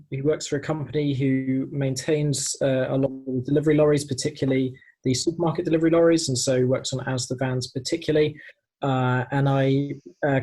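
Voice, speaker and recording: A man co-hosting a podcast, telling things casually in a halting, hesitant way. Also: fairly high pitch, a little mellow, low-quality recording. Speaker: podcast co-host.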